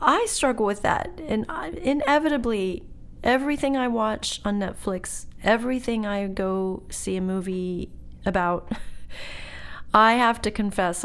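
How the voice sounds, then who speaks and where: Woman, fifties, podcast host, casual, animated, confessional. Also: medium pitch, clean tone. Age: 50s. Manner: animated, laughs often, confessional. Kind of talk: casual chat.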